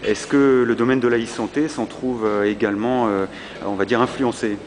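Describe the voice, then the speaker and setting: A man's voice: medium pitch, fairly even intonation, moderate pace. Hesitant man interviewing on a noisy floor. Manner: hesitant, many fillers and repeated words. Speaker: interviewer, podcaster. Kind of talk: podcast interview. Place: busy convention hall.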